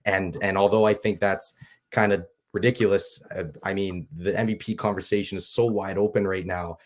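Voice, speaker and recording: A man hosting a casual sports talk show, his voice raspy from a cold, talking loosely with many fillers and restarts. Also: low-pitched, fairly even intonation, normal speed. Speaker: show host.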